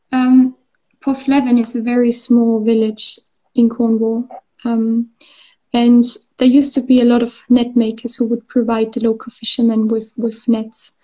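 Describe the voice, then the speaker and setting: A woman from Austria speaks as the guest in a relaxed interview. She pauses often and restarts her sentences. Her voice is mellow and fairly high, but her pitch stays quite even. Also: rough recording. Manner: hesitant, many fillers and restarts. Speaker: artist interviewee. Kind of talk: conversational interview.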